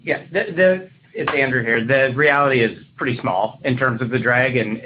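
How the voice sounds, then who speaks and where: A man speaking as a company executive, answering questions on an earnings call in measured business language. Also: medium pitch. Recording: muffled conference line, faint noise.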